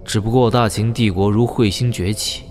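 A man's voice: lowish voice, fairly even intonation, moderate pace, a little mellow, soft background music, natural voice. A man acting a thoughtful, wry young martial hero in a Chinese audio drama, given to sighing and musing. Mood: pensive musing.

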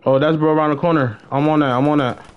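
A man's voice: on the high side, steady tone, quick pace. A young American man chatting casually and slangily as he livestreams a game, often repeating his phrases. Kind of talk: casual gaming stream chatter. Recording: dull lo-fi game-stream mic.

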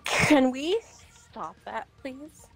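Woman, high voice, creaky, playful and self-mocking, music behind. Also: expressive, drawn-out words. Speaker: tournament player. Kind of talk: casual gaming chat.

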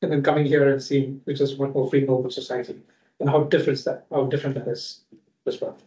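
A man from India with an Indian accent, introducing himself at a round-table meeting in a measured way. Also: mid-range voice, little pitch movement, dark tone. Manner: measured, with frequent "uh" and "kind of". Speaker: panelist. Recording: distant, echoey, dull lo-fi room sound.

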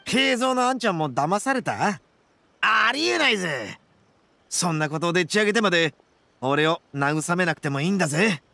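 An actor voicing a brash, boastful young male oni gang boss in a game, with rough, swaggering, melodramatic speech. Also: high-pitched, lively intonation, moderate pace, sharp tone, no echo, heavily acted. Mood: disbelieving. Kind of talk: Japanese game voice acting.

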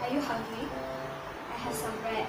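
Woman as a lonely unsure young girl in a stage play, high voice, slow, really echoey. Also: level tone, natural voice. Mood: dejected. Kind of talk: live musical stage play acting. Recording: very echoey stage, distant mic, loud music.

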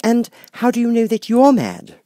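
A man's voice: very high voice, sing-song, normal speed, warm tone, dry recording, clean studio sound, voice pushed up. A man voicing a polite, timid, curious young girl in a storybook reading, speaking carefully and earnestly. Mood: puzzled.

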